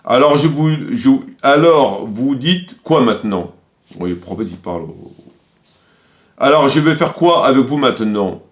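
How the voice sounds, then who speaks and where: A man's voice: mid-range voice, animated, unhurried. A man delivering critical commentary, quoting sources didactically and repeating his points for emphasis.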